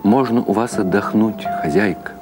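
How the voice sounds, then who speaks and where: A man voicing a poor, witty, philosophical husband in a storybook reading, wry, reflective and unhurried. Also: on the low side, fairly even intonation, music in the background, lightly acted. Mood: polite.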